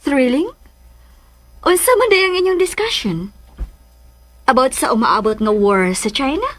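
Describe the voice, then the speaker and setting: A woman acting a concerned, gently teasing mother in a Cebuano radio drama, questioning in a dry way. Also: high voice, big pitch swings, measured pace, exaggerated voice. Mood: puzzled, teasing.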